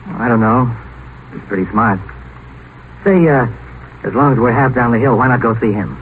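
A man's voice: mid-range voice, moderate pace, close to an everyday voice. An actor playing an earnest, bantering young man of science in a 1950s radio drama, with an easygoing manner. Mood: amused. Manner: easygoing, teasing, chuckling. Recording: tinny noisy old radio broadcast.

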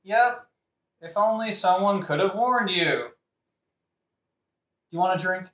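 A man's voice: high voice, unhurried, pushed and bright. A man playing a prince's loyal, dry-witted friend, wry and slangy, in a modern comedic audio play. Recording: echoey room, distant mic, faint noise.

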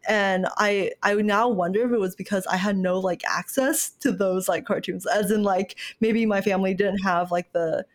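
A young woman born in Malaysia hosts a casual conversation and talks in a relaxed, reflective way with frequent fillers. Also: mid-range voice, moderate pace, few pauses.

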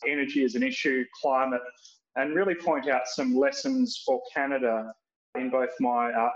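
A man with an Australian accent talks through policy comparisons in a measured, explanatory way, pausing often on fillers and restarting phrases. His voice sits at a middling pitch. The sound is roomy and a bit dull and lo-fi. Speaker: podcast guest, policy researcher. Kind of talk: prepared remarks on a podcast.

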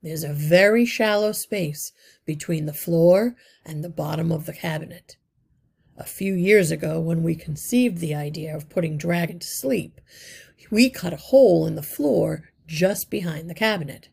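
A woman reading aloud and voicing a wise male rat leader who explains things in a measured way. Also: low-pitched, creaky, darkish tone, slightly rough, full character voice. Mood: explaining.

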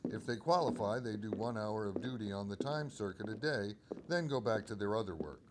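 A man's voice: lowish voice, fairly quick, noisy background, close to an everyday voice. A man playing an obliging telephone company supervisor in a radio drama, explaining things courteously. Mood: affable, obliging. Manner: courteous, explanatory, crisp phrasing.

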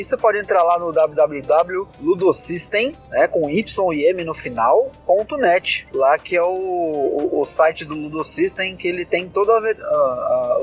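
A man with a Brazilian Portuguese accent giving a casual podcast monologue, chatty and often checking in with his listeners. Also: high-pitched. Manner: chatty, asks himself questions, checks in with listeners. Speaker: podcast host and game designer. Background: music.

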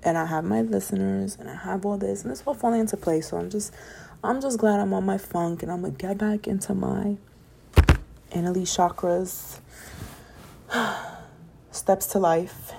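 Casual late-night podcaster woman, gravelly. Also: medium pitch, steady tone, moderate pace, long pauses, warm tone, a little hiss or hum. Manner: casual, rambling, upbeat and preachy about positivity. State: voice getting raspy from talking late. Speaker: podcast host.

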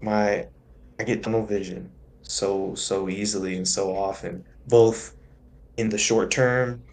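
Slow, thoughtful man on a podcast. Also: fairly low pitch. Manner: thoughtful, searching, restarts phrases. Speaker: podcast co-host. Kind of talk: casual reflective chat.

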